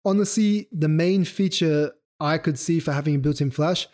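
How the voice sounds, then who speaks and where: Young guy with a sore throat rambling through camera specs, slightly high voice but mellow and dark. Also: moderate pace. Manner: rambling, loose, with many fillers and self-corrections. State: sore throat, starting to lose his voice. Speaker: podcast host. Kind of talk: casual solo podcast explainer.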